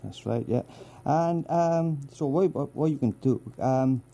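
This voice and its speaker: A Welsh man hosts a casual radio interview. His voice is very dark and mellow, and he talks in a halting way, full of ums and uhs. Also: mid-range voice. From Wales. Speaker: radio host.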